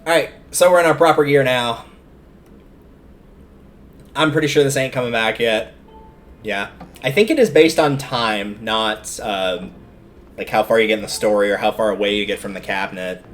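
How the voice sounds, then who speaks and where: A man's voice: on the low side, moderate pace, pauses often, slight background noise. A man giving casual, chatty, opinionated live commentary as he streams a video game, rambling off into asides. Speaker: game livestreamer.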